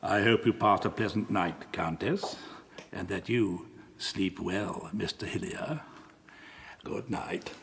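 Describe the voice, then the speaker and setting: A man's voice: deep voice, slow pace, vocal fry, a bit bright, big-room sound, a little hiss or hum, heavily acted. An actor playing a pedantic Scottish steward and librarian in his sixties, formal and lecturing, in a radio drama. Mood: formally polite. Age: 60s. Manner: lecturing, formal, scornful of superstition.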